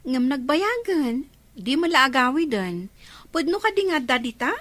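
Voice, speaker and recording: A woman acts a concerned friend in a Filipino radio drama. Her voice is forward and bright, slightly high, with a Filipino accent. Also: big pitch swings, normal speed.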